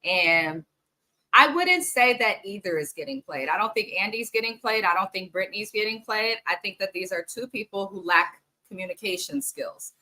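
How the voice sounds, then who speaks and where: A woman's voice: mid-range voice, lively intonation, normal speed, pushed and bright, poor audio. A woman hosting a casual, frank reality TV recap, chatting straight to her viewers and sniffly from allergies. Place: home in the Bay Area.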